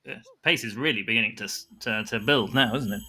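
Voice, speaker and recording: A man's voice: lowish voice, fairly quick, music in the background. A man improvising banter as a player in a tabletop game, repeating himself reassuringly.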